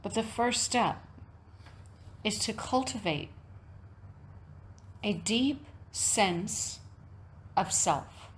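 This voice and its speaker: Slow-speaking woman guiding a meditation. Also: medium pitch, a little hiss or hum. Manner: measured, deliberate phrasing with frequent pauses. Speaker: yoga nidra teacher. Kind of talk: guided meditation talk, reflective and instructional.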